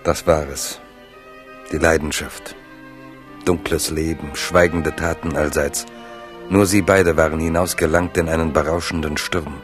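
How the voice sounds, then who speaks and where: An extremely deep-voiced narrator of a literary radio play. He is solemn and lyrical, with some violin music behind him. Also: level tone, measured pace. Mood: rapt, solemn.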